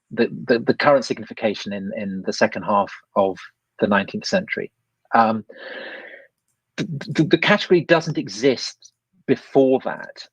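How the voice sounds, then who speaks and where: A man's voice: medium pitch, dry recording, rough recording. A man, an author, answering an interview question, hesitating often with fillers and restarts. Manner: hesitant, many "um"s and restarts, uses imagery.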